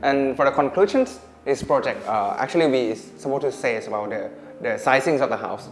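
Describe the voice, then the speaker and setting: Man, Thai accent, mid-pitched voice, casual rambling explanations. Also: expressive, brisk. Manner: loose, filler-heavy explaining. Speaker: co-host of a house tour channel. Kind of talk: casual house tour chat.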